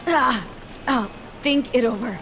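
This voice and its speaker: An actress playing a wary, defiant, foul-mouthed young mercenary in a video game, speaking in a clipped, streetwise, sardonic way. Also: female voice, a little high, slow, fairly bright tone, a little hiss or hum. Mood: pained, strained. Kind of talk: video game dialogue acting. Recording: music behind the voice.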